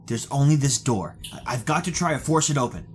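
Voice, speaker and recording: An actor playing an anxious, protective hero in an old-time radio thriller, earnest and hesitant. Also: male voice, medium pitch, expressive, a little fast, slight background noise, lightly acted. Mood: tense, uncertain. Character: anxious, protective young male hero trapped with his sweetheart. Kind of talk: 1930s-style radio thriller acting.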